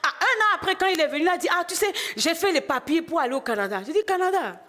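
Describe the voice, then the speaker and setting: High voiced woman from benin preaching, goes soft then loud, tells her own story. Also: lively intonation, fast. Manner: swings from hushed to loud exclamations. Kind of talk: sermon with personal testimony.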